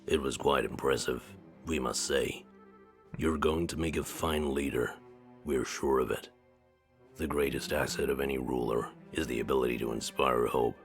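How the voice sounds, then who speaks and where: An actor playing a calm, regal male creature advisor in a video game, formal and stately. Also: extremely low pitch, moderate pace. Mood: solemn, approving. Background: game music.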